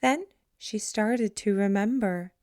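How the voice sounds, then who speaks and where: A woman reading a picture book aloud to children in a deliberate, expressive storytelling manner. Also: mid-range voice.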